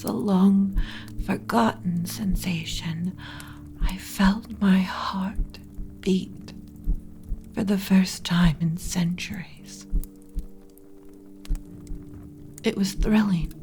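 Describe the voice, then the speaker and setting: A woman's voice: medium pitch, steady tone, slow pace, a little mellow, a little hiss or hum. A woman playing an ancient, yearning undead lich queen in an intimate, whispery ASMR romance roleplay, speaking close to the mic with solemn, formal drama. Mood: awed, enthralled. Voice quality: breathy, whispery. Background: music.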